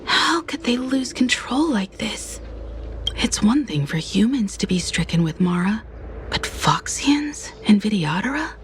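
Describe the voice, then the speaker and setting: A woman's voice: medium pitch, sing-song, measured pace, a little hiss or hum. An actress playing an earnest, troubled woman in a video game, speaking in a questioning way.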